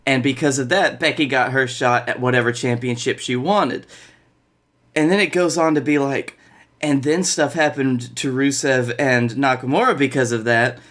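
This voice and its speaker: A man's voice: mid-range voice, fairly even intonation, moderate pace, a bit bright. A young man co-hosting a podcast in casual, conversational chat. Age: young adult. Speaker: podcast co-host. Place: bedroom, video call.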